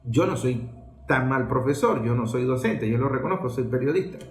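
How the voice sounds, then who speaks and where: A man's voice: medium pitch, expressive, normal speed, faint music behind. A man with a Venezuelan accent giving political commentary as a speech, posing rhetorical questions and answering them. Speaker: journalist giving a political talk. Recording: echoey room.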